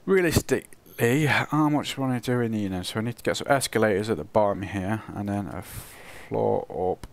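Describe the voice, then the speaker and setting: A man talks his way through a building game, thinking aloud and laughing at his own slip-ups. His voice sits a little low and is rough and gravelly, hoarse from a cold. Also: moderate pace, hardly any pauses. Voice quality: croaky, gravelly. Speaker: let's play host. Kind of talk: casual gameplay commentary.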